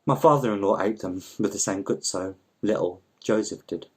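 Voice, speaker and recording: A man with a fairly low voice narrates a short story. His delivery is measured and literary, like an amateur reading aloud from the page with the odd small stumble. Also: darkish tone, breathy edge. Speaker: volunteer story reader.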